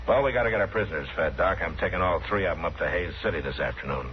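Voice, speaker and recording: Casting: male actor as stoic western lawman, very low pitch, weary authority, vintage radio drama with dull fidelity. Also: very fast, natural voice. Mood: dry, gentle. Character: stoic, weary, commanding US Marshal of the Old West. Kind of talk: 1950s radio western acting. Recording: dull, noisy old radio recording.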